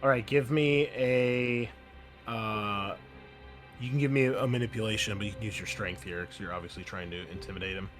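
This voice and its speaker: A man running a tabletop roleplaying game as host, narrating casually in a halting, conversational way. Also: fairly low pitch, steady tone, music in the background. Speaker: game master and podcast host.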